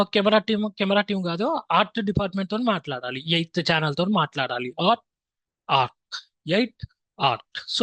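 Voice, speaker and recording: High-voiced man with a Telangana accent, teaching. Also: fairly bright tone, no room sound. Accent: Telangana Telugu. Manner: repeats key points, mixes in English phrases. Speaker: filmmaking instructor. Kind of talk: informal explanatory lesson.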